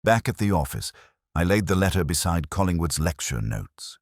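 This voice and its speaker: Very deep-voiced man narrating a detective mystery. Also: very animated, normal speed, dry recording, studio quality. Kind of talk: first-person scripted mystery narration.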